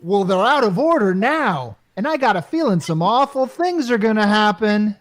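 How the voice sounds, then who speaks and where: Wisecracking male office worker for radio comedy, played by a woman: colloquial, urgent, very animated intonation. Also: mid-range voice, moderate pace, close to an everyday voice. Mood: alarmed. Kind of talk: old-time radio comedy acting.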